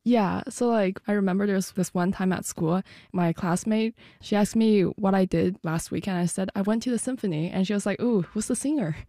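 A woman's voice: medium pitch, fairly quick, hardly any pauses, warm tone, no echo, studio quality. A sixteen-year-old girl from the United States chatting casually as an interview guest, youthful and informal. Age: 16, teenager. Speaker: guest, student classical pianist.

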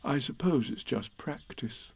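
A man voicing a humble, simple-minded male bear who speaks quietly and slowly. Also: medium pitch, animated, vocal fry, husky, full character voice. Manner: soft, slow, humble.

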